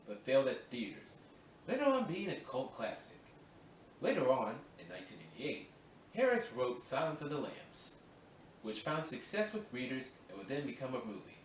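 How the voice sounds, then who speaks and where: A man's voice: medium pitch, slight background noise. A man recording voice-over takes for a video essay. He chats casually between takes and restarts lines to correct his reading. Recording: roomy echo, distant mic, faint ambience.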